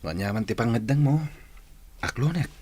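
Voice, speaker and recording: A man's voice: mid-range voice, animated, normal speed, darkish tone, breathy edge. A man acting an earnest young village man in love, sincere and emphatic, in a radio drama. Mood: earnest, lovestruck.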